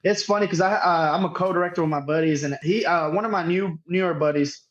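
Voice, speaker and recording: A man's voice: a little high, fast. A man talks in a dull, lo-fi recording. He is informal and self-deprecating, often repeating himself as he explains things in casual anecdotes. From Northeast Texas, United States. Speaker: podcast guest, tournament director. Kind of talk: casual interview chat with anecdotes. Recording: dull, lo-fi remote audio.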